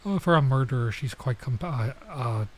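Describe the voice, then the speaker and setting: A man's voice: mid-range voice, lively intonation, moderate pace. A man doing a let's play, reading visual novel text aloud and adding casual, off-the-cuff comments, sometimes stumbling over lines.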